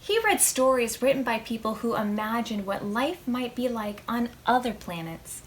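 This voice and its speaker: A woman reading a picture book aloud to preschoolers as a storytime host, expressive, drawing out words and putting questions to her young listeners. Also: fairly high pitch, moderate pace, a little hiss or hum.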